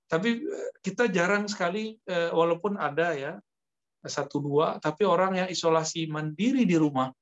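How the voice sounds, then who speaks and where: A man giving a conversational Islamic lecture as a preacher, explaining in a halting way with pauses. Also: on the high side. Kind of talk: religious lecture teaching from a book, conversational. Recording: dull lo-fi lecture recording.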